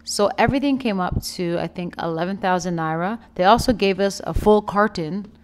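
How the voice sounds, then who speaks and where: A young woman, giving a chatty, playful and expressive casual vlog voice-over. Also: mid-range voice, normal speed. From Canada. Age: young adult. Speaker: vlogger, food reviewer. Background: background music.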